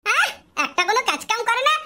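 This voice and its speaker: A performer voicing a scolding, sharp-tongued cartoon character in a comedy, with a pitched-up filter. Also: sing-song, quick pace, heavily acted. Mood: exasperated. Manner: brash, scolding, swearing. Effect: chipmunk-like pitch-up filter.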